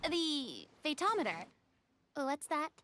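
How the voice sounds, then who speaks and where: A woman's voice: high-pitched, sing-song, slow, sharp tone, a little hiss or hum, full character voice. A woman playing a sweet, curious, polite young woman in an anime video game. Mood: curious, pleased. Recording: game music behind the voice.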